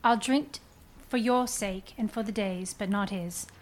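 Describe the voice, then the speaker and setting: A woman playing a sharp-tongued, indignant wife and mother in a live radio play reading. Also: mid-range voice, a little slow, natural voice. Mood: grudging.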